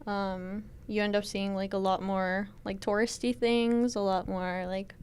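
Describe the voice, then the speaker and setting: A woman's voice: medium pitch, a little slow, smooth. A young woman who grew up near the border, answering interview questions casually with many fillers. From Tijuana area. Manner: relaxed, filler-heavy, laughs easily.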